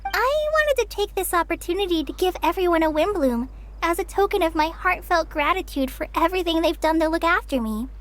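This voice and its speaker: A woman's voice: extremely high pitch, lively intonation, a little fast. A woman voicing an earnest, curious young girl in a video game, with a light, sweet voice. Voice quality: girlish, light voice. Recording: game music underneath.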